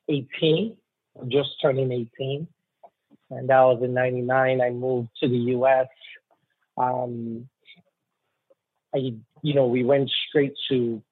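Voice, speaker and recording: A man in his forties from Nigeria, a trial attorney telling his life story in a halting way, with many ums. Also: medium pitch, no room sound. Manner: halting, with frequent ums and restarts. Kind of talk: conversational storytelling. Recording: husky voice on a tinny phone-like line.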